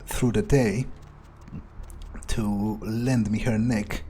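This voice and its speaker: Slow, mellow man role-playing a callous male vampire. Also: lowish voice, steady tone. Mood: idle, callous. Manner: casual, halting, sardonic musing. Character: callous, musing male vampire player character. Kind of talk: in-character improvised game playthrough, thinking aloud. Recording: faint background noise.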